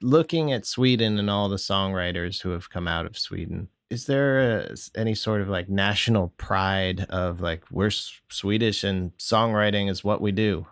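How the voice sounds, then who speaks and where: A man interviewing a guest, asking relaxed, conversational questions while searching for his words. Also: deep voice, normal speed, hardly any pauses, smooth, no echo, clean studio sound.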